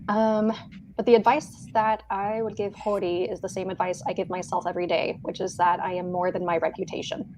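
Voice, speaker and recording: A woman with a medium-low voice, answering thoughtfully on a casual video-call panel. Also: flat delivery, darkish tone, a little hiss or hum, low-quality recording. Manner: thoughtful, measured, light laughs. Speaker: voice actor on a panel.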